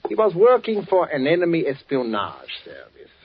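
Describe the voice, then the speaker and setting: Smug wartime official, swooping pitch. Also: male voice, huge pitch swings, breathy edge, exaggerated voice. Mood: veiled menace. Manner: formal, insinuating, deliberate with small hesitations. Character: smug, menacing Nazi German munitions official. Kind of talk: 1940s radio drama acting. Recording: dull, faintly noisy 1940s radio recording.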